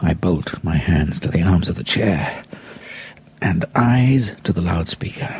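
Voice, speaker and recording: A man narrating an audiobook in a dramatic first person, theatrically savouring his words with long pauses. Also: sits low, animated, a little mellow, slight background noise.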